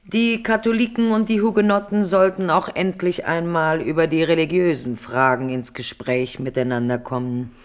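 A woman's voice: low-pitched, level tone, moderate pace, few pauses, smooth, close to an everyday voice. A woman as a cool, scheming queen mother. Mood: persuasive. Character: scheming queen mother of France, calculating and commanding. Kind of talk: 1970s radio drama acting.

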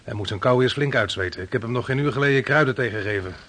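An actor playing an obliging ship's surgeon among sailors in a historical radio play. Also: male voice, medium pitch, rapid-fire, a bit bright, lightly acted. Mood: willing, brisk. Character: obliging ship's surgeon, willing and concerned for his mates. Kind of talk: Dutch radio play dialogue.

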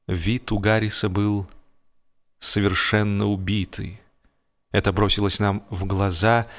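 Theatrical male narrator of humorous fiction. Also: fairly low pitch. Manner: theatrical, stretches key words for emphasis. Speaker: audiobook narrator. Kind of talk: dramatised humorous literary narration.

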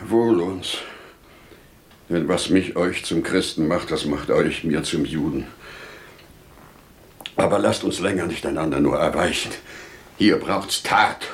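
Low-voiced, solemn grieving merchant father. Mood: resolute. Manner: solemn, declamatory, deliberate. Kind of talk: 1950s German radio play acting. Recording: 1950s radio drama recording.

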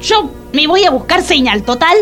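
Flighty dramatic girl in a radio comedy, high voice, swoops all over. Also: sing-song, normal speed, fairly bright tone, soft background music. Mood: huffy, dramatic. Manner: theatrical, emphatic.

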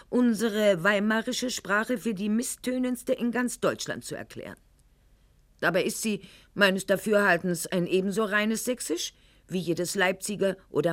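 An actress playing a proud, articulate eighteenth-century court lady in a radio play monologue, with formal, mannered diction and an indignant, self-justifying air. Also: mid-range voice. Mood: mocking, arch. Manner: eloquent, mannered, formal diction with dramatic pauses and sudden outbursts.

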